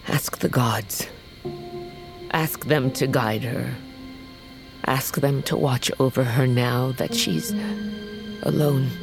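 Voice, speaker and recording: A woman's voice: a bass voice, fairly even intonation, takes it slowly, long pauses, heavily acted. An actress playing an aging, dying warrior queen, blunt and regretful, in a fantasy audio drama. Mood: wistful, regretful. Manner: weighty, regretful, rough-tongued. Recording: music underneath.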